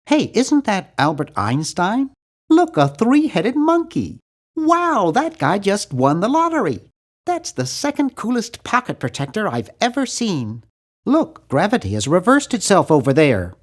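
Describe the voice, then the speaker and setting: A man's voice: sits high, huge pitch swings, measured pace, heavily acted. A man voicing a nerdy, timid, fussy young hero of a cartoon adventure game, with a prim, wry, bookish delivery. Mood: feigned excitement. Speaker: game character voice actor.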